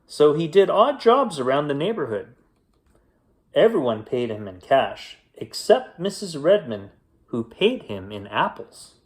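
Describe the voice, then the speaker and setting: A man narrating a children's picture book as an expressive, unhurried bedtime storyteller with deliberate dramatic pauses. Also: fairly high pitch.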